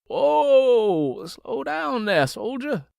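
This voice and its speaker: Man playing a troubled old preacher father, slow and weighty. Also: big pitch swings, gravelly, darkish tone, dry recording, clean studio sound, full character voice. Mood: steadfast. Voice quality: deep, weighty. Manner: sermonizing, slow deliberate phrasing. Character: deep-voiced older preacher father, troubled, scripture-quoting, stubborn.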